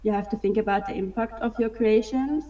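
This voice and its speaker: A woman's voice: on the high side, a little fast, warm tone. A woman, answering interview questions casually and hesitantly, with many filler sounds. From Germany. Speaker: guest, digital fashion artist. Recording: distant, dull sound.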